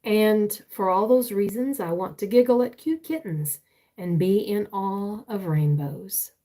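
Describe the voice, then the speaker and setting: A woman's voice: mid-range voice, measured pace, warm tone. Older woman, a writer reading her stories and chatting live, meandering and hesitant, recorded on a tablet. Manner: rambling, hesitant, many fillers and restarts. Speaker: author and live streamer. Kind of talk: reading own writing and casual live chat. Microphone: tablet used for live streaming.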